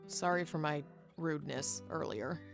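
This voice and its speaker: A woman playing a gruff, guarded bounty hunter in a western visual novel, curt and dry. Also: low-pitched, moderate pace. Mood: curious, softer. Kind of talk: visual novel character acting. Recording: guitar music behind the voice.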